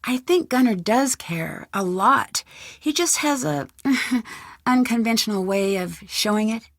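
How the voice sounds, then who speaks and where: A woman playing an earnest, polite, persistent young detective in a video game, speaking clearly and in a conciliatory way. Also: on the high side, animated, measured pace, a bit bright, no echo, full character voice. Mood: sympathetic. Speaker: game protagonist.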